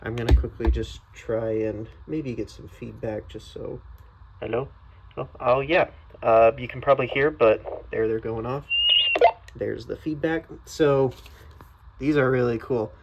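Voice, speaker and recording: A man's voice: mid-range voice, steady tone, a little slow, creaky, highs cut off, background noise. A man giving a casual, unscripted product review, thinking aloud in a hesitant, tentative way.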